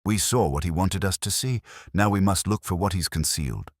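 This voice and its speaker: A grave, shrewd inspector, voiced by a male narrator, extremely low and husky. He speaks in measured declarations, as in a mystery audiobook, with a dry, close sound. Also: no echo. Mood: brooding, deliberate.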